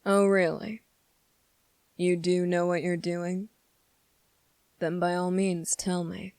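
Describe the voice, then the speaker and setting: A woman voicing a sardonic, condescending demon in an ASMR roleplay, speaking deliberately with drawn-out pauses. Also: lowish voice, flat delivery, a little mellow, smooth, dry recording. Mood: condescending, amused. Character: sardonic, condescending demon summoned to make a deal. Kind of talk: ASMR roleplay, dramatic monologue to listener.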